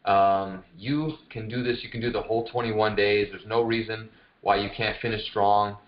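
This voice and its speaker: A man's voice: fairly low pitch, steady tone, normal speed. A man speaking as a fitness coach hosting a group call, chatting casually in an informal, encouraging way over lo-fi call audio. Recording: dull, lo-fi conference call audio. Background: no music, voice on a group phone call.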